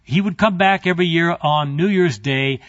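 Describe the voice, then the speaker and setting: A man with a fairly high voice teaches a Bible class, talking in an easygoing, conversational way full of asides to his listeners. The recording is dry and a little muffled. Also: normal speed, fairly bright tone, no room sound.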